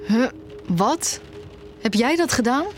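A woman's voice: on the high side, lively intonation, slow, faint music behind. Blunt, shaken young female student. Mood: shocked, blunt. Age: young adult. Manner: blunt, direct, questioning. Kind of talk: naturalistic audio drama dialogue.

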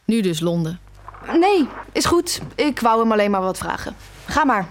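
A woman's voice: a little high, big pitch swings, normal speed, slight background noise. An actress playing a stubbornly independent, nearly blind music student in her twenties in an audio drama. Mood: hesitant. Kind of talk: audio drama dialogue and inner narration.

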